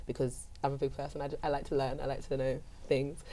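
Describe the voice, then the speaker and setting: A quick, mellow-voiced young woman from London answers panel questions, with her voice a little off the mic. Her talk is chatty and full of "kind of" and "like". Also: very deep voice, level tone. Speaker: postgraduate student panelist.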